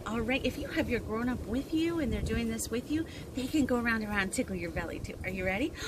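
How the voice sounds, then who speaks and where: A woman in her thirties leading an interactive storytime for young children, expressive and sing-song, asking lots of questions. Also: a little high. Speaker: children's librarian hosting storytime. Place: outdoors on a wooden deck by trees. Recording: windy outdoor recording with clear noise. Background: wind and outdoor ambience.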